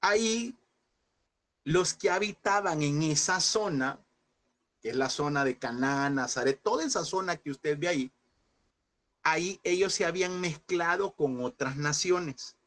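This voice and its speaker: A man teaching a Bible class, asking his listeners questions, repeating them and slowing down on key points. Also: fairly high pitch, fairly bright tone. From El Salvador. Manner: addresses listeners as brothers, asks and repeats questions; slows and stresses key words.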